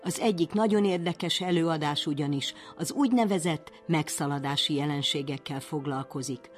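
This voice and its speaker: Lower-pitched woman radio host. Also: soft background music. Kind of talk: scripted programme introduction.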